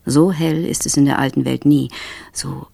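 An actress playing a driven, wired business traveller in a radio drama inner monologue, clipped and intense, with a husky, breathy voice. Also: female voice, deep voice, steady tone, normal speed, warm tone, dry recording, full character voice. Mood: wired, euphoric.

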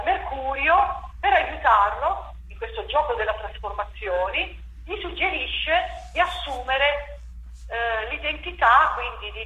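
A woman playwright and director giving an interview about her stage play, talking in an animated way and restarting her sentences often as she thinks aloud. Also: on the high side. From Italy. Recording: echoey room with faint noise.

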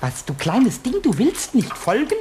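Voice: medium pitch, wildly swooping pitch, measured pace, darkish tone. An actor playing a cheeky, bossy, childlike male kobold, chattering and giggly, in a German children's radio play. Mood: bossy, mischievous. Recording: noisy old radio play with ticking clocks.

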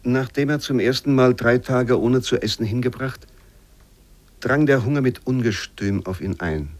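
A man reads old literary prose as a radio narrator, in a measured, formal and expressive way. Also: medium pitch.

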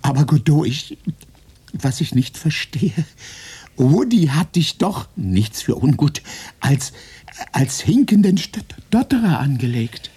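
A panicky, stammering neurotic. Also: male voice, fairly high pitch, sing-song, slow, dark tone, breathy edge, full character voice. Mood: frightened. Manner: stammering, nervous. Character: Jerry, a frightened, neurotic man who stammers in panic. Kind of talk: 1990s radio play acting.

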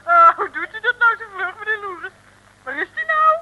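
A woman's voice: extremely high pitch, big pitch swings, normal speed, phone-quality sound. An actress playing an eager, girlish young sleuth in an old Dutch radio serial, chirpy, coy and polite. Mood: excited. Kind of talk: early-1960s Dutch radio serial acting. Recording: old radio recording, faint hiss.

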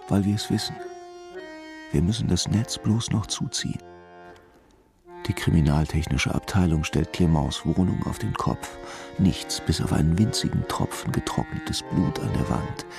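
An actor playing a brooding, solitary investigator in a radio drama, musing intimately in short, halting phrases with a gravelly voice. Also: male voice, low voice. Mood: intent, certain. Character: brooding solitary male investigator in inner monologue. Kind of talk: radio drama inner monologue. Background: accordion and violin music.

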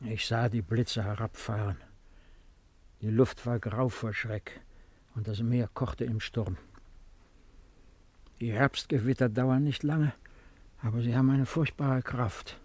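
An old Roman emperor, brooding and nostalgic. He speaks in a solemn, reflective, declamatory way at a middling male pitch, in the manner of 1950s radio drama, recorded very dry and close. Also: fairly even intonation, takes it slowly, pauses often, a little mellow, no room sound. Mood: solemn awe. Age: older.

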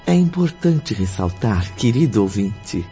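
Homem como narrador brasileiro de radionovela, bem pausado. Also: male voice, on the low side, big pitch swings, warm tone. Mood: solemn, dramatic. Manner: dramatic, deliberate. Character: solemn storyteller addressing the radio listener. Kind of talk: radio drama scripted narration. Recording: dull lo-fi audio under music.

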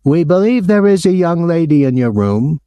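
A man's voice: on the high side, big pitch swings, normal speed, dark tone, clean tone, dry recording, dull audio, voice pushed up. A man voicing an aggrieved, officious male hotel clerk in an audiobook, with a prim and pompous formal manner. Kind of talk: audiobook character voice.

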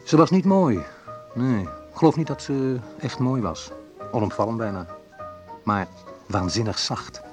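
A man's voice: medium pitch, expressive, a little slow, pauses often, soft background music, natural voice. An actor playing a wistful, reflective man who recalls awkward teenage love in an intimate radio play monologue.

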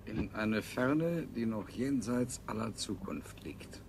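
A man's voice: lowish voice, lively intonation, slow, vocal fry, a little mellow, slight background noise, full character voice. A man playing a proud, sardonic scientist-king in a 1970s German radio play, speaking in a declamatory way. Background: music.

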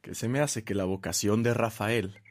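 An actor playing a teasing man of a country household in a radio drama, jocular and animated. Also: lowish voice, moderate pace, slight background noise, natural voice. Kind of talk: Spanish-language radio drama acting.

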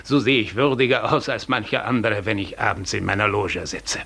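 A vain and tyrannical count holds forth in a 1950s radio play. His voice is fairly low with a gravelly creak, and he speaks in a measured, self-satisfied, lordly manner. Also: fairly even intonation, sharp tone, full character voice. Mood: smug. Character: tyrannical, vain, ugly Russian count, master of serfs.

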